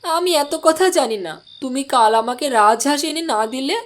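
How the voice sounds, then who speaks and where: A woman voicing a strong-willed village housewife in a cartoon, complaining and insistent but soft-hearted. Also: high-pitched, lively intonation, normal speed. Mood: sulky, insistent. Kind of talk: cartoon voice acting.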